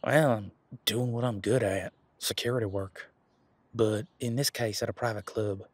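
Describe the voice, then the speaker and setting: A man playing a laid-back, responsible werewolf security guard in a casual roleplay audio, talking in a colloquial, halting, matter-of-fact way with a husky voice. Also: lowish voice, fairly even intonation, no echo, close to an everyday voice. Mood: sheepish, defensive.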